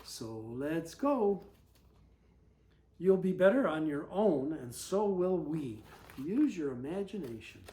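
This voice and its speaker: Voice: mid-range voice, very animated, slow, dark tone, roomy sound. A storyteller voicing bossy children in a picture-book space adventure, urging and commanding. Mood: encouraging.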